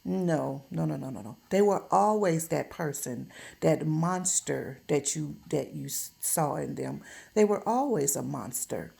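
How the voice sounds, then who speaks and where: A woman's voice: low-pitched, expressive, a little slow, creaky, warm tone. A woman giving an informal relationship advice monologue, speaking emphatically and bluntly, admonishing and repeating phrases for stress. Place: at home.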